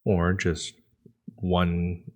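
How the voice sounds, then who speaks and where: A man's voice: low-pitched, monotone, extremely slow. A man playing a practical, questioning crew member aboard a spaceship in an audio drama.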